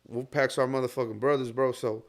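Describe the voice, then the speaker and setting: A Texan man chatting as a podcast guest, his voice sitting at an ordinary middle pitch. He tells long stories at an easy pace, swears often and fills gaps with "like" and "you know what I mean.". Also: steady tone, a little fast. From Texas. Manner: laid-back, profane storytelling with lots of fillers. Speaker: guest rapper. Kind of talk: casual podcast chat.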